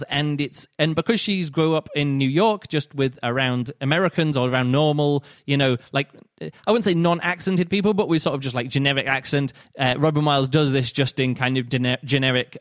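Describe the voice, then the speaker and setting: A man's voice: medium pitch, no room sound, clean studio sound. A man hosting a solo podcast, chatting casually and rambling with many fillers and restarts. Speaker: podcast host.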